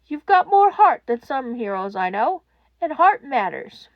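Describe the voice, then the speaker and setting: A warm, sincere radio man, acted by a woman in an audiobook. He sounds gentle and reassuring, with very animated intonation. The recording is close and dry, a touch dull. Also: high voice, unhurried, dry recording, full character voice. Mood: honest, encouraging. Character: warm, sincere adult male radio host consoling a caller. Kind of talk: audiobook character acting.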